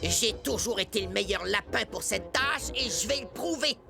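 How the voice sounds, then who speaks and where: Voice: mid-range voice, expressive, moderate pace, gravelly, loud music behind the voice. A performer voicing a scheming, jealous male rabbit rival in a children's cartoon, sneering and boastful. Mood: scornful, boastful. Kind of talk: animated children's film dub.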